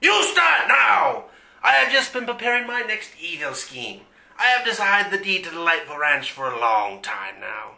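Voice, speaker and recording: A man's voice: high-pitched, very animated, normal speed, vocal fry, pushed and bright, raised voice, heavily acted. A man playing a pompous, gleefully evil, scheming villain in a parody of a western radio serial. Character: vile scheming melodramatic mustache-twirling male western villain. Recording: roomy echo.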